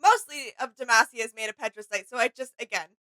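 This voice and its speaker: High, bright woman in casual chat. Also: lively intonation, fast talker, dry recording. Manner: giggly, agreeable. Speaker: reaction video co-host.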